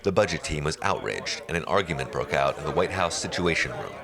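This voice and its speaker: A man's voice: extremely low pitch, animated, a little fast, gravelly, crowd noise. A man reading scripted narration for a news documentary.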